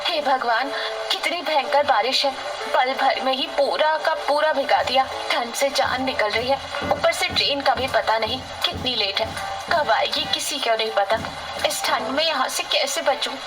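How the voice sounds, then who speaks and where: A woman's voice: high voice, moderate pace, over music, close to an everyday voice, low-quality recording. A woman voicing a cold, hungry female traveler in a comic moral story, plaintive and exclaiming. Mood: miserable.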